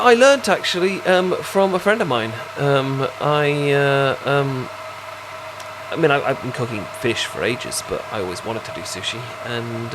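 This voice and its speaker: British male, unhurried and stammering, acting an eager, chatty best friend for ASMR roleplay. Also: medium pitch, very noisy, close to an everyday voice. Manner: halting, stammering, rambling. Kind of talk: ASMR roleplay, casual chat.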